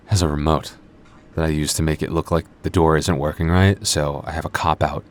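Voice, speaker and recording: A man voicing a young male vampire store coworker in an ASMR roleplay, flustered and teasing, with hesitant, sardonic banter. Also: a bass voice. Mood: grudging, sardonic. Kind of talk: ASMR roleplay audio drama.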